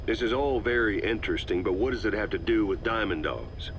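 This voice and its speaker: An actor playing a gruff, wry mercenary soldier in a video game, with a dry, folksy manner. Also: male voice, lowish voice, animated, fairly quick, slight background noise. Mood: earnest. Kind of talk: scripted dramatic game dialogue.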